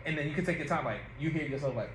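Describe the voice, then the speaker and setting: A man giving casual rehearsal notes as an audio play's director, explaining haltingly and demonstrating lines as he goes. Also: fairly high pitch. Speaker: writer and director of an audio play. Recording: echoey room with background noise.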